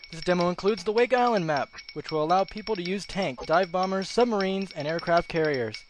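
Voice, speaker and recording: A man presenting a scripted comedic news report with a dry, sarcastic manner. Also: high-pitched. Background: background music.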